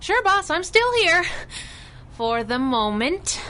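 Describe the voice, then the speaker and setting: A woman's voice: very high voice, sing-song, slow, bright, forceful tone, exaggerated voice. A woman playing a plucky, sassy young masked sidekick in radio adventure style, tossing out teasing quips, with noisy effects behind her. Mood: guarded.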